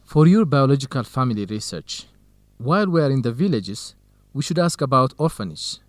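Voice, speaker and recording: Animated, earnest young man played by an actor. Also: medium pitch, fairly quick, no echo, close to an everyday voice. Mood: friendly, earnest. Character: earnest, modest young Ethiopian male research partner and interpreter. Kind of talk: educational radio drama acting.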